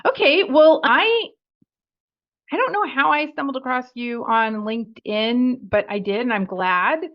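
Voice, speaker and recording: American woman host asking quick questions. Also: high-pitched, highs cut off. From US West Coast. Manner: brisk, with short affirming interjections. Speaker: podcast host. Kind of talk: casual interview conversation.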